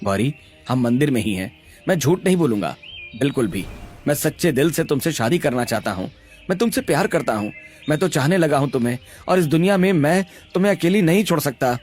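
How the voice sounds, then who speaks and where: An earnest young tea-seller in love, voiced by a male narrator. He speaks sincerely and reassuringly in a slightly high male voice, in an animated story with music behind. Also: a little fast, lightly acted.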